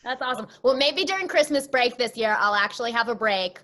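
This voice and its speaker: A woman speaking as an interview guest, an actress explaining things in a chatty, animated, casual way. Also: on the high side, brisk.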